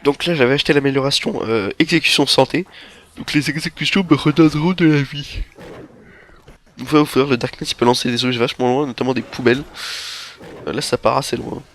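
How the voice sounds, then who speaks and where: Young man with a head cold. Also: mid-range voice. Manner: rambling, halting, relaxed. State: stuffy nose from a head cold. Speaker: gameplay commentator. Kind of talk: game walkthrough commentary. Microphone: headset microphone.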